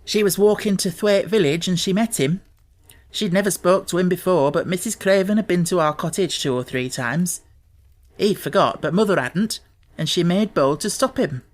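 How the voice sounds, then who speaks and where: A man's voice: sits high, moderate pace, pitched above the performer's own voice, lightly acted. A man voicing a cheerful, plain-spoken young Yorkshire housemaid in an audiobook, chatting in broad, homely dialect. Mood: explaining, earnest.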